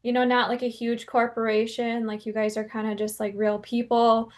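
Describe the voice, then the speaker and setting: A woman's voice: a little high, level tone, moderate pace, a little mellow. A woman interviewing someone in a casual, informal and slightly tentative way, often saying "like".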